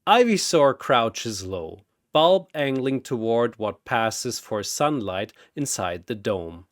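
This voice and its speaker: A man narrating a scripted story in a dramatic, theatrical and deliberate manner. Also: mid-range voice, very animated, dry recording, clean studio sound.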